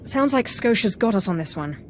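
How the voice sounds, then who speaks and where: An actress playing a bold young dark-side apprentice in a video game, wry and confident. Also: female voice, medium pitch, expressive, moderate pace, a little hiss or hum, lightly acted. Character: bold, cocky young female Sith apprentice. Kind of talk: video game dramatic acting.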